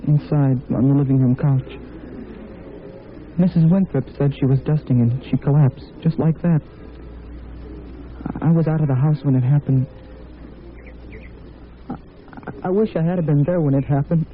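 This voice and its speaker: An actor playing an earnest, shaken teenage son in an old radio drama, on a tinny, noisy recording. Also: male voice, a little high, normal speed, long pauses, close to an everyday voice. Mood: grief-stricken. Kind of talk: 1950s radio drama acting.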